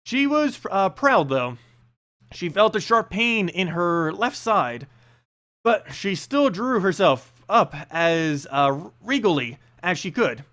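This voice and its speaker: A man's voice: high-pitched, big pitch swings, takes it slowly, fairly bright tone. A man reading a fantasy story aloud as a narrator, with dramatic delivery and occasional hesitant stumbles. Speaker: reader of fantasy stories, channel host.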